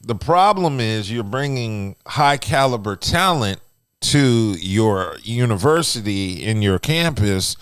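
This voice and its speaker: A man with a naturally loud, booming voice co-hosts a casual podcast, explaining at an even pace with plenty of "uh"s and "you know"s. His pitch sits a little low, and his voice comes through smooth and resonant. The close studio mic leaves it dry. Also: slow pace, no room sound. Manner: steady explanatory talk with frequent fillers. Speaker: podcast co-host. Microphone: close radio-style studio mic.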